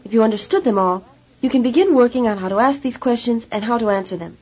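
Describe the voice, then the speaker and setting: A woman narrating a language course tape in a measured, teacherly way. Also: a little high, animated, a little mellow. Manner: measured, clear teacherly delivery. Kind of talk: scripted instructional narration. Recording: dull lo-fi tape recording.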